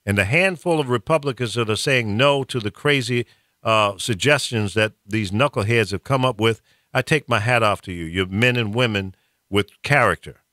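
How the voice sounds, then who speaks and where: A man's voice: fairly low pitch, moderate pace, pushed and bright, no echo, clean studio sound. A man ranting bluntly about politics on a talk radio show, stammering and repeating words as he presses his points.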